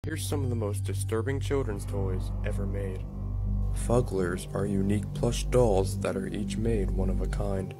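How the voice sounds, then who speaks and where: Young guy reading out facts, lowish voice, really loud music under him. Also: moderate pace. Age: young adult. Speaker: short-video presenter. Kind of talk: scripted informational narration. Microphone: wired earphone mic. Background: loud instrumental music.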